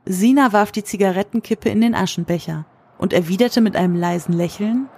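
A woman narrates a scripted audio drama. Her voice is medium in pitch, reading prose as a storyteller between the characters' lines. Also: fairly quick, darkish tone, a little hiss or hum.

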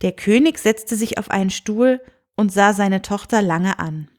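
A woman's voice: mid-range voice, a little slow. A woman narrating a scripted fairy tale as a storyteller.

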